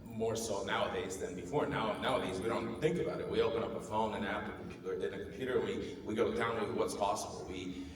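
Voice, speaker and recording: A man's voice: lowish voice, steady tone, fast. A man from Bulgaria with a Bulgarian accent, slightly losing his voice, speaking earnestly on a conference panel with many restarts. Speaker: panelist, marketing executive. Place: large conference hall stage. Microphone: handheld mic. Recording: echoey hall, distant mic, faint noise.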